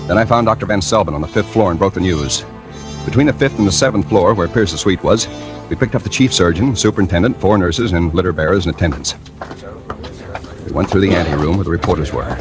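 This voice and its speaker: An actor playing a brash, wisecracking American newspaper reporter, narrating in a hardboiled, sardonic 1940s radio noir style. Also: male voice, low-pitched, fast, natural voice. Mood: tense narration. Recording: vintage 1940s radio drama with music.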